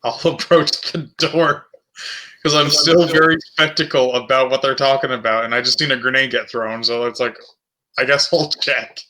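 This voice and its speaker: A man's voice: mid-range voice, fairly even intonation, moderate pace, sharp tone, low-quality recording. A man chatting casually as a player in a tabletop role-playing game. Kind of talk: casual chat during a game.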